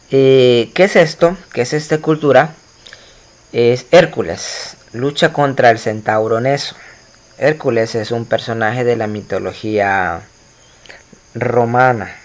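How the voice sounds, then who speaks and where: Male teacher, mid-pitched, chatty lecture delivery with lots of "eh" fillers and self-corrections, talks straight to his students. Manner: explanatory, many fillers and restarts, addresses students directly. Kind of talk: recorded slide lecture.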